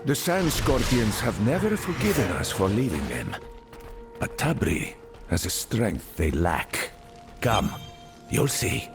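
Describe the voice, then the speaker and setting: A man's voice: fairly low pitch, very animated, takes it slowly, gravelly, music in the background, exaggerated voice. An actor playing a self-serving desert rogue in a video game, with wheedling, theatrical, salesman-like patter. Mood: theatrical, urgent. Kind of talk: video game character acting.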